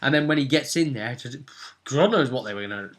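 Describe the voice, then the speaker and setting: A man's voice: mid-range voice, expressive, a little fast, fairly bright tone. A man working as game master, recapping a tabletop role-playing session in an informal, rambling storytelling way.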